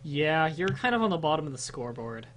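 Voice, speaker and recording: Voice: mid-range voice, very animated, fast talker. A streamer trading dry, teasing banter while playing a strategy game. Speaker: let's play co-streamer. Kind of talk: casual gaming chat.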